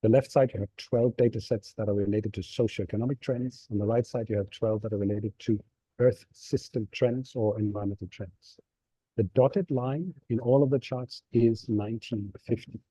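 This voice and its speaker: Middle-aged man from Denmark, webinar talk, dark mellow tone, slightly husky, dry sound, explanatory. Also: lowish voice, fairly even intonation, normal speed, no echo, rough recording. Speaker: nonprofit executive director giving a talk. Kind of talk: webinar presentation with slides. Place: seated on stairs of a train station.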